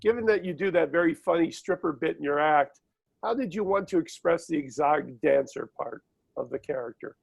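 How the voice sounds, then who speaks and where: A man's voice: fairly high pitch, fairly quick, creaky, breathy edge. A male interviewer reading prepared questions over a video call, formal and brief in his replies. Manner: formal questions with short affirmations. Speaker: interviewer, film site host.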